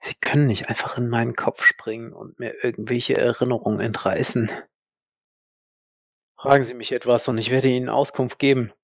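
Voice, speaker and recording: A man's voice: mid-range voice, flat delivery, vocal fry, no echo, highs cut off, close to an everyday voice. A man playing a proud, defiant accused war veteran in an audio drama, indignant and emphatic. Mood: defensive, tense. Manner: indignant, emphatic, halting when pressed. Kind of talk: dramatic audio play acting.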